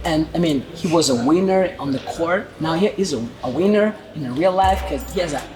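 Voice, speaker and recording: Halting man interviewing over music. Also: on the high side, rough recording. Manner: halting, repetitive phrasing in non-native English. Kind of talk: informal sports interview. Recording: music playing behind the voice. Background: background music.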